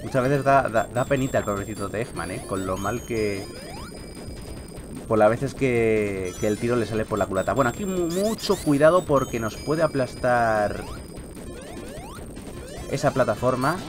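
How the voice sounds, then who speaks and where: Male gaming host, Peninsular Spanish accent, medium pitch, chatty and playful with light swearing, casual commentary over prominent game music. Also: lively intonation, normal speed. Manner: chatty, joking, mildly sweary. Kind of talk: casual Let's Play commentary. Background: video game music.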